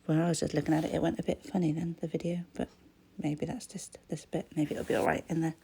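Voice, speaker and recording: Croaky chatty woman. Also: sits low, level tone, quick pace, mellow. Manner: rambling, chatty, often drops to a whisper. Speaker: crafting vlogger. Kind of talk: casual voice-over chat while crafting.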